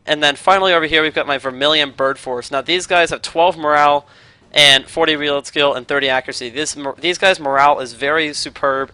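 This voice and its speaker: A man's voice: medium pitch, bright, forceful tone, clean tone, no echo. A man giving upbeat play-by-play commentary on a strategy game replay, his voice still a little scratchy from being sick. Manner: upbeat, brisk play-by-play explaining. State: recovering from illness, voice a bit scratchy. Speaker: gaming commentator.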